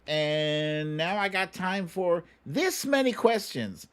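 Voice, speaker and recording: Slow-talking American man, casual livestream host. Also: high voice, animated, fairly bright tone, clean tone. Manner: unhurried, musing, trailing pauses. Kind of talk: casual chat answering viewer questions.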